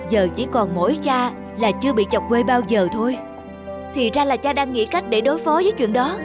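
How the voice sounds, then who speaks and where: A woman performing all the voices of a cartoon folk tale, acted with expression, over music. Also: a little high. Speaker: narrator and voice of all characters. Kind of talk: cartoon voice acting. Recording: music behind.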